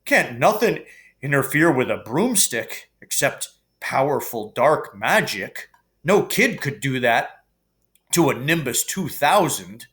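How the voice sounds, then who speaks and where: A man voicing a big, gruff, worried rustic gamekeeper with folksy grammar in a storybook reading. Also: medium pitch, sing-song, slow, fairly bright tone. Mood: shaken, grave. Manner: folksy, dropped words, double negatives.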